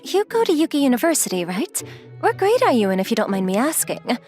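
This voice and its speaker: Young female model, anime dub, played by a woman: breezy, teasing, forward, on the high side with big animated swoops. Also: brisk. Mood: curious, casual. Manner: forward, playful, casually inquisitive. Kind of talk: anime-style dub acting. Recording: music behind the voice.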